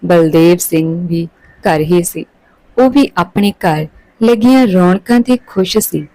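A woman's voice: fairly low pitch, expressive, a little slow, warm tone, dry recording, low-quality recording. A woman reading a scripted story aloud as a narrator.

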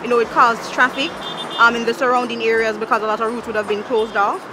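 A woman answering calmly and thoughtfully in a street interview. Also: on the high side, fast talker, background noise. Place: street outdoors.

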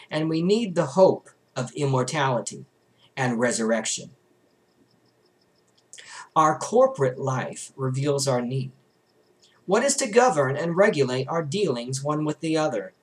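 A young man reads a religious book aloud in a measured, formal, rhetorical way, at a desk in a small bedroom. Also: on the high side. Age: young adult. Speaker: amateur audiobook reader.

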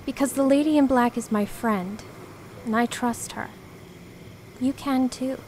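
An actress playing a kind, wry spirit woman, Death's emissary, in a radio play, gentle and understated. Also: sits high, measured pace, slight background noise. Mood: gentle, reassuring.

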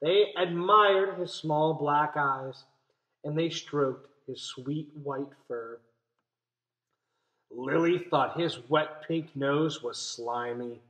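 A man's voice: a little high, slow pace, fairly bright tone, muffled recording. A young man teaching a read-aloud lesson for young children, explaining simply and stressing key words. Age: young adult. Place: small indoor room at home. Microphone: webcam or laptop mic at arm's length.

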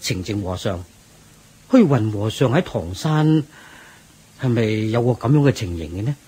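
An actor playing a courteous, attentive temple organiser in a radio drama, speaking politely and deliberately. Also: male voice, fairly low pitch, sing-song, mellow, breathy edge, full character voice. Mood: puzzled. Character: courteous, attentive man helping host a temple gathering. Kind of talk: Cantonese radio drama acting.

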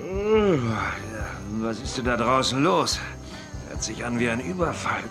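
An actor playing an earnest, determined Wild West sheriff in a children's radio play. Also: male voice, medium pitch, sing-song, a little slow, loud music behind the voice. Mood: bewildered.

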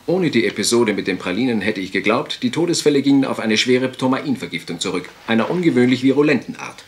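A man's voice: medium pitch, animated, fast talker, slight background noise, lightly acted. A man playing a sober, formal country doctor in a radio crime drama, speaking precisely and clinically. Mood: grave, precise.

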